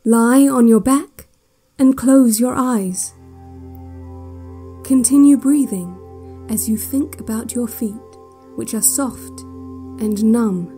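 An actress playing a calm, controlling relaxation-cassette instructor in an audio drama, measured, soothing and deadpan, quietly sinister. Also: female voice, on the high side, faint music behind. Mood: calm, instructive.

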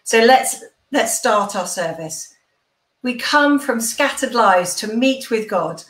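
A woman's voice: a little high, animated, takes it slowly, fairly bright tone. A middle-aged woman, a church minister, leading online worship and prayer informally from a room at home. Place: small room at home, indoors. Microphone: computer mic on a video call. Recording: roomy home video-call sound.

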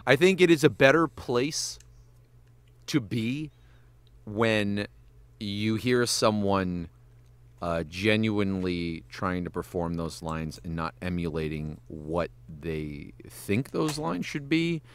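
A man's voice: fairly low pitch, animated, slow pace, smooth. A man, a voice actor and animator, giving casual podcast advice, swearing freely and breaking into voice demonstrations.

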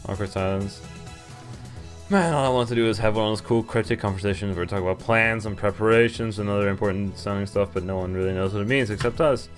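A man's voice: lowish voice, quick pace. A young man hosting a gaming video, reading on-screen story text aloud and adding casual comments. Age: young adult. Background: game music.

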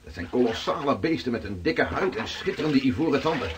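An actor playing a hearty seafarer home from an Arctic voyage in a radio drama. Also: male voice, expressive, brisk, crowd noise, lightly acted. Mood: earnest storytelling. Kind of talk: Dutch radio drama acting. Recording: noisy radio play recording with low rumble.

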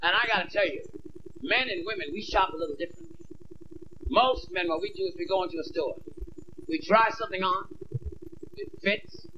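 Brash man doing stand-up, high voice, dull lo-fi tape, loud hiss. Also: fairly quick, pauses often. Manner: brash, acts out voices of women and himself. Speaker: stand-up comedian. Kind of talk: stand-up routine. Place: theater stage. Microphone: handheld mic.

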